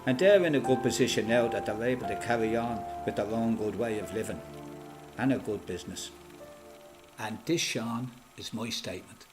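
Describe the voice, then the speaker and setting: A man's voice: fairly low pitch, moderate pace, natural voice. Man playing an old Irish veteran, music behind. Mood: matter-of-fact recollection. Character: elderly Irish ex-freedom fighter, plain-spoken, quietly proud father. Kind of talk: radio play monologue, reminiscing as recorded testimony.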